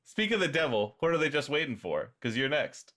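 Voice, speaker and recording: Casting: livestream host, mid-range voice, casual, brisk, giggly, sweary. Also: mid-range voice, big pitch swings, fairly bright tone.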